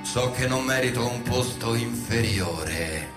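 An Italian actor playing a bitter, scheming soldier passed over for promotion, in a theatrical monologue set to music, scornful and swinging between cries and whispers. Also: male voice, medium pitch, lively intonation, slow pace. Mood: scornful, resentful. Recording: echoey stage sound under loud music. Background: loud musical accompaniment.

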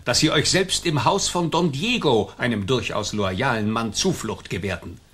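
A man's voice: medium pitch, normal speed, fairly bright tone, slightly rough. A man acting a haughty, vindictive governor in a radio play, imperious and scornful in formal speech. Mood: stern, accusing. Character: haughty, vindictive colonial governor, quick to rage.

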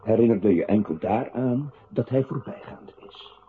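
An actor playing a narrator in a literary radio play, reciting deliberately and precisely. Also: male voice, mid-range voice, close to an everyday voice. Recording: muffled, noisy old radio-play recording.

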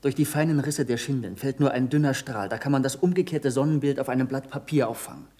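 Male actor doing keen young science student, normal pitch, earnest and pushy, radio play. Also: fast, warm tone, natural voice. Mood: eager. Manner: earnest, urging, precise. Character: eager, persistent young male pupil of a scientist. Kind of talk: German radio play acting.

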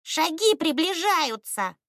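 A high-pitched, excitable male ostrich from a children's storybook, acted out by a woman. He is nervous and suspicious, yet stubbornly self-important. His blunt, emphatic speech swoops up and down, recorded dry and close. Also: unhurried, no room sound, exaggerated voice. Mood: nervous, insistent.

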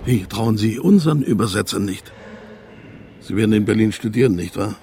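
An actor in a radio drama playing a stern, patronizing East German political officer with a gravelly, officious delivery. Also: male voice, lowish voice, lively intonation, moderate pace, mellow, a little hiss or hum. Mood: needling.

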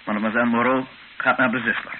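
A man's voice: medium pitch, little pitch movement, quick pace, natural voice. Een man die in een hoorspel een formele legerkapitein speelt, zakelijk en kortaf. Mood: firm, curt. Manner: clipped, matter-of-fact. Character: curt, formal Congolese army captain, secretly humane. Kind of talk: radio play acting. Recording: muffled, hissy old radio recording.

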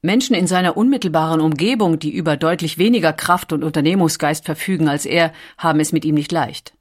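A woman's voice: low-pitched, fairly quick. A woman reading a scripted documentary audiobook as its narrator. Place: recording studio.

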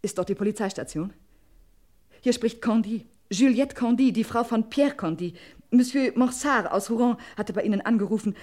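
An actress playing an anxious, pleading wife in an old German radio crime play, agitated and urgent, often breaking off mid-sentence. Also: female voice, a little high, moderate pace, a little mellow, close to an everyday voice. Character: anxious, pleading wife desperate to save her husband.

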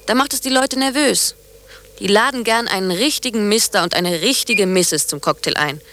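Blunt, calculating young woman, medium pitch. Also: animated, moderate pace, fairly bright tone. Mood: blunt, pragmatic. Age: young adult.

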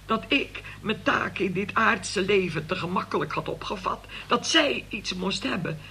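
A middle-aged, long-suffering mother in a radio drama. Her voice is bright and forward, and she tells her tales in a solemn, pious, long-winded way. There is faint noise on the recording. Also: medium pitch, animated, a little slow, gravelly, exaggerated voice. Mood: solemn, forgiving. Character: forgiving, long-suffering mother recounting family disaster to son. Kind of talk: radio play acting.

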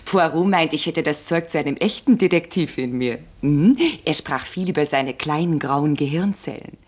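A nosy sister in a vintage radio mystery. The voice is very low for a woman and distinctly husky, chatty and teasing with smug self-satisfaction. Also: moderate pace, darkish tone. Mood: smug, gossipy. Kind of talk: 1950s German radio play acting.

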